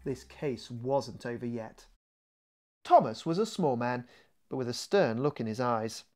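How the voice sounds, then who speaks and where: A man reading his own children's book aloud as a scripted, expressive audiobook narration. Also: medium pitch, unhurried. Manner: expressive storytelling. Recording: music behind the voice.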